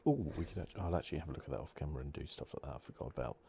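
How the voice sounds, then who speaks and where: Very deep, hushed man. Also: very fast, vocal fry, slight background noise. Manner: soft-spoken, hushed, drifting into whispers. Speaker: gaming channel host. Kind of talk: ASMR video game playthrough commentary.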